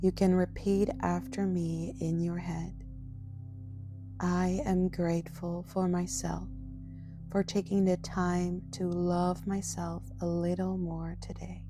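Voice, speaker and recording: A woman guides a relaxation meditation in a gentle, soothing voice. She speaks very slowly, with a fry at the edges of her words. Soft meditation music plays clearly behind her. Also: fairly low pitch, little pitch movement. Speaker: yoga nidra guide.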